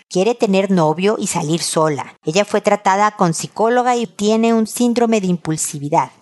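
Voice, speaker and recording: Mexican woman host giving advice. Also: medium pitch. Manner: warm, reassuring, frequent tag questions. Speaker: podcast host and psychologist. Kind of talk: reading listener letters and giving advice.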